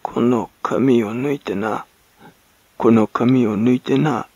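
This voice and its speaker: A man voicing a croaking, gasping old woman who mutters excuses for herself in rustic, archaic speech, in a storybook reading. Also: mid-range voice, fairly even intonation, slow pace, dark tone, no echo, highs cut off. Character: croaking, muttering, self-justifying old hag in rags.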